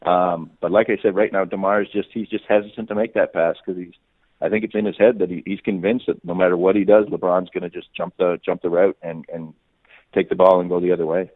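Male sports reporter as guest, lower register, dry close sound, thinks aloud in restarts. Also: level tone, dry recording, narrow, tinny audio. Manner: halting, repeats and rephrases phrases as he reasons aloud. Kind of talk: unscripted sports analysis in an interview.

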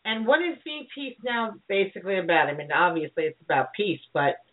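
A woman's voice: medium pitch, fairly quick. A woman hosting a radio show, chatting casually and informally with her guest. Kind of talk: casual interview chat. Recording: narrow phone-like internet radio sound.

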